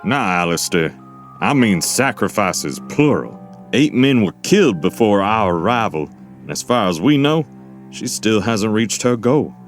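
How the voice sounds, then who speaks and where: Man acting a folksy, low-voiced plain talker. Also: unhurried, soft background music. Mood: probing. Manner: rustic, plain-spoken, steady. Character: folksy, shrewd, plain-talking male companion named Silas. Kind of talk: dramatic audio fiction acting.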